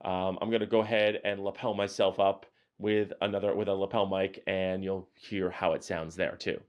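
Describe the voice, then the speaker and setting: Enthusiastic deep-voiced man reviewing gear. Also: flat delivery, moderate pace. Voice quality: deep booming voice. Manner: enthusiastic, chatty with many fillers. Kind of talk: casual product review. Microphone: changing mics: built-in camera mic, lapel and wireless mic.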